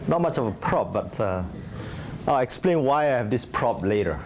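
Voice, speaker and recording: A man's voice: medium pitch, sing-song, moderate pace, a little mellow, a little hiss or hum. A man from Singapore, an investor giving a conversational conference talk full of fillers and restarts. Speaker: venture investor speaking at a startup conference. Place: conference stage.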